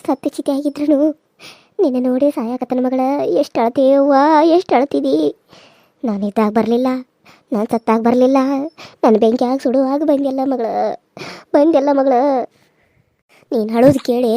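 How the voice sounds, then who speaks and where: The ghost of a dead older mother grieves over her daughter, voiced by a woman. The tone is mellow and lower than the performer's usual voice, tender and lamenting. The sound is close and dry. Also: steady tone, normal speed, long pauses, no echo. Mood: mournful. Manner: tender, lamenting, urging revenge.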